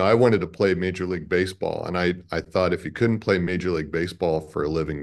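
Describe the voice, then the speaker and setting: A man in his fifties from Chicago with an American accent, speaking as a guest in a conversational interview. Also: low voice. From Chicago, American Midwest. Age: 50s. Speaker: radio network president, interview guest. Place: indoor room, on a video call.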